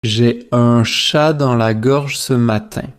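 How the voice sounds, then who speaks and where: Slow, measured man reading drills. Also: medium pitch. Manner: measured, repetitive phrase-by-phrase delivery. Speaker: language teacher.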